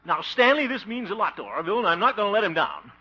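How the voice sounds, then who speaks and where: A swooping, blustery soft-hearted radio-comedy fellow. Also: male voice, high voice, sing-song, quick pace, slightly rough, exaggerated voice. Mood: flustered, indignant. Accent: American. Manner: flustered, wisecracking, exclaiming with nervous chuckles. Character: blustery, trusting, soft-hearted small-town homemaker show host. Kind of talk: 1950s American radio comedy acting. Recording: muffled, noisy 1950 radio broadcast.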